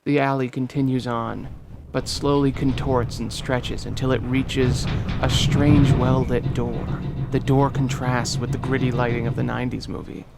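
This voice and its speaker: A man narrates an action horror script in a dramatic read. His voice sits at an average pitch with plenty of gravel in it. Heavy ambient noise runs behind him throughout. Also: little pitch movement, normal speed. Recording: loud ambient rumble behind voice.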